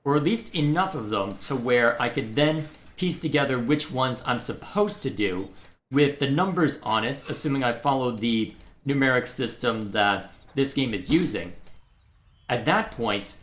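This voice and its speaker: A man's voice: mid-range voice, lively intonation, moderate pace, a bit bright, roomy sound. A man from Texas hosting a live game stream, thinking aloud in a casual, musing way. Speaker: livestream host playing a game. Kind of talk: casual live gameplay commentary.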